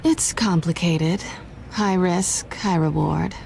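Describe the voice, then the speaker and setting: Low, mellow sly woman thief. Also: creaky, slight background noise, rough recording. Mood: guarded, wry. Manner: teasing, sultry, deliberate. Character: sly, flirtatious woman thief, cool and self-assured. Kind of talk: video game voice acting.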